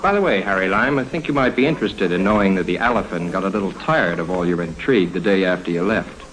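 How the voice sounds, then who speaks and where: An actor playing a blunt, unruffled FBI agent in 1950s radio drama, dry, matter-of-fact and sardonic. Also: male voice, sits low, fast, a bit bright, poor audio. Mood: sardonic, cool. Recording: old 1950s radio recording.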